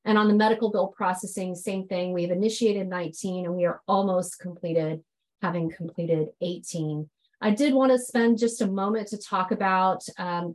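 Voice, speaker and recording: A woman giving a businesslike slide presentation on enforcement figures, a little hoarse from a head cold, with frequent ums. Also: lowish voice, darkish tone, rough recording.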